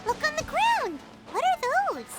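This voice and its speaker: Game sidekick: little squeaky fairy, extremely high, bouncy intonation, feisty and childlike. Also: female voice, huge pitch swings, unhurried, heavily acted. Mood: curious. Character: tiny childlike floating fairy companion, excitable and indignant. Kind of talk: video game voice acting. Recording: loud game music behind the voice.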